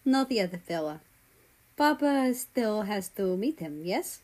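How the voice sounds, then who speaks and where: A woman voicing a gentle teenage big brother in an audiobook, quiet and reassuring. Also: medium pitch, very animated, takes it slowly.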